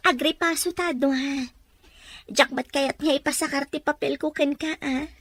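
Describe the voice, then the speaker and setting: A sincere, dutiful young Ilocano Filipino student acted by a woman with a high-pitched voice. It is radio drama acting, recorded close and dry. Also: fairly even intonation, takes it slowly, a bit bright, no echo. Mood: modest, friendly.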